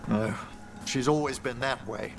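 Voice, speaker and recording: An actor playing a gentle, wistful, scholarly older necromancer in a fantasy video game, with formal, measured, eloquent phrasing. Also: male voice, mid-range voice, noisy background, lightly acted. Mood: grave. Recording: game music playing behind.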